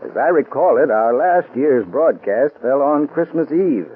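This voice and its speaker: A folksy old-timey salesman, lively up and down voice, tinny radio sound. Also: a little slow, slightly rough. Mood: cordial. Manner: folksy, warm-hearted sales pitch. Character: friendly male heating expert giving greeting. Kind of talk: 1940s radio ad. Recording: old radio broadcast, thin band.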